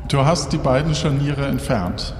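A man narrating a tabletop role-playing game as game master, measured and somewhat hesitant. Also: mid-range voice. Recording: echoey hall reverb with clear music.

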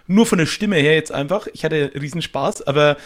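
Guy talking quick and slangy on a podcast, voice a bit high. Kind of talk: casual chat.

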